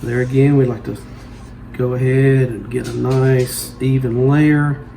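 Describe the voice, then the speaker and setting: A man's voice: mid-range voice, level tone, measured pace, vocal fry, mellow, a little hiss or hum. A man, hosting a home cooking show and walking viewers through making pizza in a folksy, instructive way.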